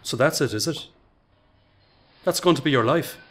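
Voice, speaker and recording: A man's voice: a little high, moderate pace, fairly bright tone, lightly acted. A man acting in a radio drama as a frustrated, principled worker with an insistent, pleading way of talking. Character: frustrated, principled male worker urging his friend. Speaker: actor in a radio play.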